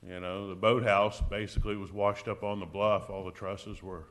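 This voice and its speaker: A man with a deep voice chairing a local committee meeting, speaking in a plain, folksy way. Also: little pitch movement, normal speed, fairly bright tone. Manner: plain-spoken, folksy, reminiscing. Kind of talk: public meeting, casual chat.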